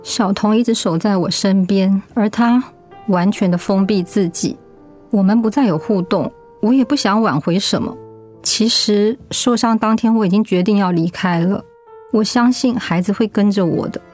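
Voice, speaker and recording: An actress plays a middle-aged mother, wounded but resolute, in a radio drama. She speaks in a mid-pitched female voice, gentle and reflective. Music plays faintly beneath her. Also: normal speed, close to an everyday voice. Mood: resigned, reflective. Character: hurt, resolute mother leaving her marriage. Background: background music.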